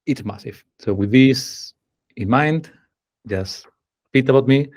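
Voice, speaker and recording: A man's voice: medium pitch, unhurried, a little mellow. A man from Spain with a Spanish accent, an engineering manager giving an online presentation over a video call and explaining step by step while putting questions to his audience.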